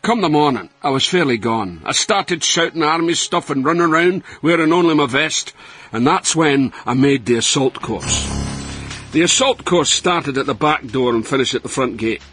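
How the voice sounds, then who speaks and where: A man's voice: a little high, expressive, moderate pace, fairly bright tone, soft background music. An actor playing a roguish, boastful Scottish man in his sixties from Dundee in a radio comedy, colloquial and mock-sincere. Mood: cheerful, boastful. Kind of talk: radio comedy acting, reading out emails.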